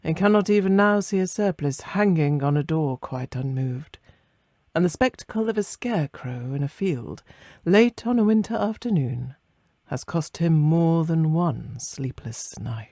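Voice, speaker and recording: A narrator reading a classic ghost story in a measured, literary and expressive way, letting phrases soften at the end. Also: medium pitch, no room sound, studio quality.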